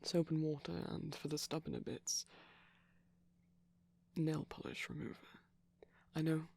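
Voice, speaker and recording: A man speaking in a soft, breathy ASMR ramble, often slipping into a whisper, gentle, shy and hesitant, close to the microphone. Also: fairly high pitch, level tone, a little slow. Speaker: ASMR performer.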